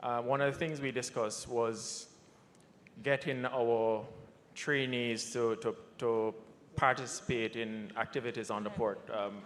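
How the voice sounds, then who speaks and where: A man's voice: steady tone, a little slow. A man answering a question on a conference panel, hesitant with frequent fillers and restarts. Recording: reverberant conference hall with low rumble.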